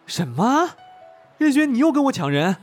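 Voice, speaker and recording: A man's voice: very high-pitched, very animated, normal speed, soft background music, exaggerated voice. An actor playing an eager, chummy young male manager in an audio drama, friendly and boisterous. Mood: indignant, chummy. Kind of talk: Chinese audio drama acting.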